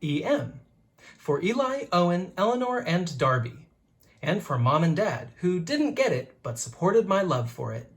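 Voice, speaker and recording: A teacher reading a rhyming children's book aloud in a rhythmic, expressive way. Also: medium pitch, measured pace.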